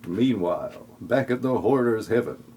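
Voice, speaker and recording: A man's voice: medium pitch, normal speed, dark tone, breathy edge. A man narrating a scripted comic audio play in a mock-dramatic, tongue-in-cheek way.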